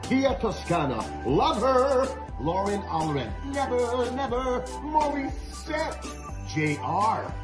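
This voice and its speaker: A man's voice: very high-pitched, sing-song, slow pace. A man announcing a concert lineup with showy enthusiasm, swooping up on names and slipping into song. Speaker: guest performer recording a concert promo. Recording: music bed under the voice. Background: music.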